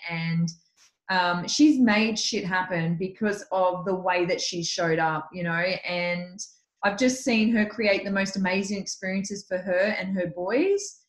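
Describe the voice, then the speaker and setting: A woman hosts a group video call and introduces the night's speaker with gushing praise. She talks loosely with plenty of fillers, and her voice rings in an echoey room. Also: fairly low pitch. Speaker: host.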